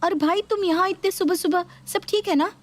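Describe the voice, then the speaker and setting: A woman voicing a gentle, dutiful young daughter-in-law who placates her family in a dramatised story. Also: very high voice, moderate pace, voice pushed up. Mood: placating. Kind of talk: dramatised Hindi story video.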